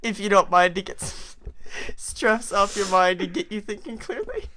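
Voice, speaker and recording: Mid-pitched, theatrical reader of fan fiction. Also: expressive, normal speed, noisy background. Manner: theatrical, stumbles over words. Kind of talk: mock-serious dramatic reading of fan fiction.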